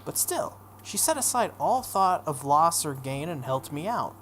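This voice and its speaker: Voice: mid-range voice, very animated, a little slow. A playthrough host reads a visual novel aloud in a mid-range voice. The delivery is dramatic narration mixed with casual asides. There is a light layer of noise on the recording. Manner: acts out dialogue, breaks off for offhand comments.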